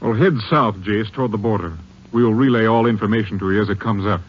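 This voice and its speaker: An actor in a 1950s radio western playing a brisk, matter-of-fact Ranger captain with an American accent. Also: male voice, lowish voice, natural voice. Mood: businesslike. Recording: dull vintage radio recording.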